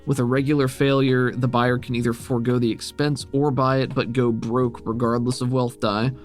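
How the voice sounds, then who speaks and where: A man's voice: medium pitch, little pitch movement, normal speed. Man game-mastering over guitar music. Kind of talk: narrating a tabletop role-playing game, conversational. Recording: guitar music playing behind the voice. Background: plucked guitar background music.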